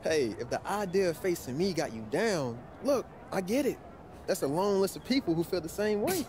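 Cocky young ballplayer, noisy background. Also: male voice, high-pitched, animated, normal speed, a little mellow. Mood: teasing. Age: young adult. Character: cocky young basketball rival and old friend. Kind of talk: video game cutscene dialogue, casual. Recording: clear ambient noise behind.

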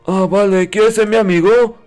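A man's voice: high voice, fairly even intonation, normal speed, a little mellow, clean tone, soft background music. A man doing playful roleplay commentary over a video game, talking in a childlike, naive, exclamatory way. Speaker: gaming video host.

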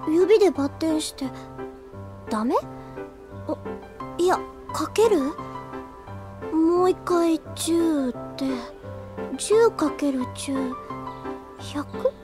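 A woman's voice: extremely high pitch, takes it slowly, long pauses, music in the background. A woman playing an earnest, easily flustered, self-doubting teenage schoolgirl in an anime audio drama. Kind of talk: anime audio drama acting, with inner monologue.

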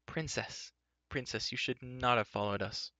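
A calm and kindly man of authority from a storybook, as a male narrator plays him. He speaks with measured courtesy in a bright, firm tone. The recording is slightly muffled. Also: mid-range voice, no echo. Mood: anxious, sad.

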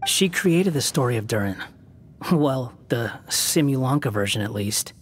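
A man's voice: mid-range voice, lively intonation, unhurried, a little hiss or hum, lightly acted. Man playing an aloof, terse young wanderer. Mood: matter-of-fact. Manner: terse, composed. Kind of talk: anime game dubbing.

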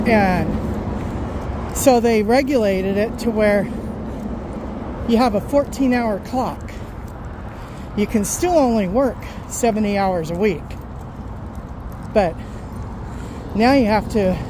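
A middle-aged woman truck driver chatting casually on a vlog while walking her dogs, rambling and unhurried, recorded on a phone outdoors. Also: mid-range voice. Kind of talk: casual walk-and-talk vlog. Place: outdoors by a road and parking lot. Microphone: phone held while walking. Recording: outdoor phone audio with traffic noise. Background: passing cars and outdoor ambience.

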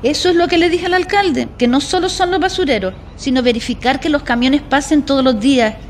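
A composed, businesslike woman in charge of a shelter voiced by an actress in a radio soap. She speaks articulately in a high voice, with ambient noise behind her. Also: a little fast, lightly acted. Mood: serious. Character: Vanessa, composed, civic-minded lawyer running a women's shelter. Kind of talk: radio soap opera acting.

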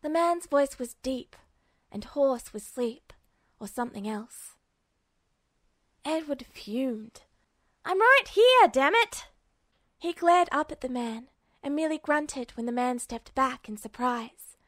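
A woman's voice: sits high, slow, dry recording, clean studio sound. A woman reading a story aloud as a scripted fiction narrator. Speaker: audiobook narrator.

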